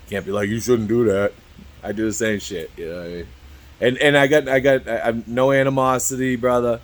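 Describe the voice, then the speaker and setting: A man in his early fifties talking candidly to camera as a vlogger, halting and repeating "you know" constantly, swearing freely. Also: lowish voice. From Cambridge. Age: 50s. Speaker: YouTube vlogger. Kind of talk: rambling candid talk to camera.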